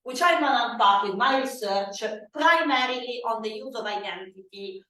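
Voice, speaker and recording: A woman with an Italian accent giving a conference keynote in a loud, animated way. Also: a little high, normal speed, recorded from a distance. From Italy. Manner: animated, loud, self-deprecating asides. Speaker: keynote speaker. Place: conference hall. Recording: echoey hall from afar.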